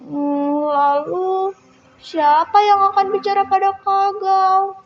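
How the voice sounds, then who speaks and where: A young female storyteller voicing concerned, gossiping village friends in puzzled, hushed asides. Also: very high voice, flat delivery, slow pace, soft background music, pitched above the performer's own voice, full character voice. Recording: muffled echoey hall recording.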